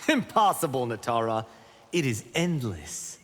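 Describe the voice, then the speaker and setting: An actor playing a cocky, boastful young martial arts warrior in a fighting game, proud, brash and expressive. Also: male voice, mid-range voice, a little slow, in a large hall, heavy background noise. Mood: defiant banter. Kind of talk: fighting game character acting.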